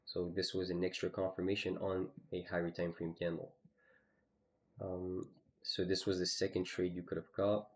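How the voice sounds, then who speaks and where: A man's voice: low voice, completely flat delivery, normal speed. A man streaming live, casually walking through his trades on a chart, with lots of "you know" and "okay". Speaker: trading streamer.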